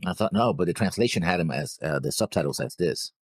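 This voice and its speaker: A man co-hosting a reaction show in casual chat, halting and often trailing off. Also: sits low, animated, dry recording, clean studio sound. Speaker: co-host of anime reaction show.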